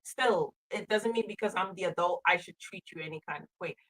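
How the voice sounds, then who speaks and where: A woman's voice: lowish voice, brisk, fairly bright tone, poor audio. A middle-aged woman in casual conversation as a co-host, speaking earnestly and repeating phrases for emphasis. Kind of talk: casual chat.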